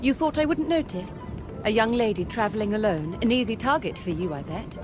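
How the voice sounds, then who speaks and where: An actress playing a young, well-bred British lady with an educated English accent, outspoken and expressive, in a video game. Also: medium pitch, brisk, music in the background, close to an everyday voice. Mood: indignant. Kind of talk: video game dialogue acting.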